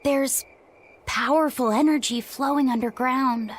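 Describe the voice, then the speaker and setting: An actress playing a gentle, hesitant young character in a video game. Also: female voice, sits high, measured pace. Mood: hesitant, earnest. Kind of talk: English video game voice acting.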